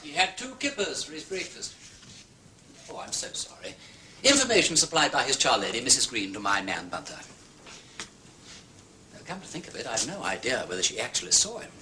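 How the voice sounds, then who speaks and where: An actor playing a witty, breezy aristocratic amateur detective with an upper-class English accent, urbane and playful, in a period detective drama. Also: male voice, medium pitch, sing-song, brisk, long pauses, sharp tone, a little hiss or hum, poor audio.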